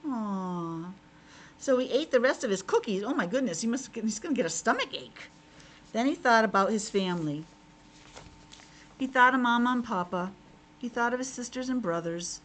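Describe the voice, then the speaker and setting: A woman reads a picture book to young children in the manner of a teacher, with simple asides to them. Her voice is fairly high and very animated, with faint noise behind it. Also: poor audio. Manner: expressive, simple child-directed talk.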